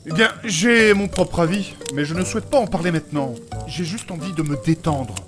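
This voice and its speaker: A man's voice: fairly high pitch, normal speed, music in the background, natural voice. A man playing a reserved, polite and long-suffering scholar in a comic fantasy audio drama, formal, hesitant and a little exasperated. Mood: weary, exasperated.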